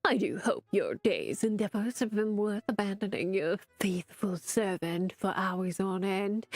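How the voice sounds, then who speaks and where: Give me a woman playing a haughty male grimoire, slow and pompously sarcastic, recorded dry. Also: lowish voice, dry recording.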